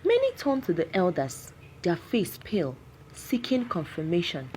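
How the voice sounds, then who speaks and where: A woman narrating a scripted folktale in a solemn, measured, dramatic way. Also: fairly low pitch, huge pitch swings, darkish tone, slight background noise. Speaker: storyteller.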